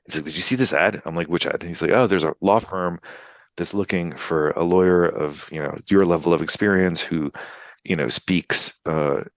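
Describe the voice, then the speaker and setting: American man in his fifties, very low voice, chatty and meandering, interview guest on a video call, dry close audio. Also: moderate pace, dry recording. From United States. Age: 50s. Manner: rambling, filler-heavy, frequent "you know" and "right?". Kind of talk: casual storytelling interview. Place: Poland, remote interview.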